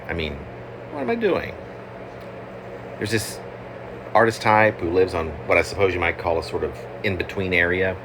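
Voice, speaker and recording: A man reading his own poem as a wry, halting, digressive literary monologue. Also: sits low. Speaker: poet reading own work. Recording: clearly noisy, steady background hum.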